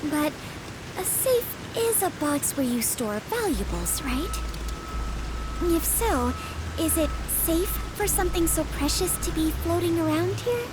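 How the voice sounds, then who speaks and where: A woman's voice: very high voice, animated, a little slow, music in the background, rough recording. A woman playing a polite, curious, naive young woman in a video game. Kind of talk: English video game voice acting.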